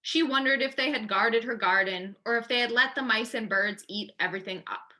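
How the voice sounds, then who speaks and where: A woman's voice: fairly high pitch, brisk, pushed and bright. A woman reading a children's story aloud expressively, as a librarian does at storytime.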